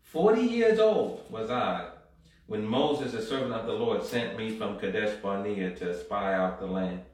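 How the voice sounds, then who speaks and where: A man's voice: lowish voice, lively intonation, a little slow, darkish tone. Echoey fervent male preacher. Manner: builds to fervent, rising exhortation; frequent amens and call-and-response. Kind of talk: sermon with Bible reading. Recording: very echoey room from far off.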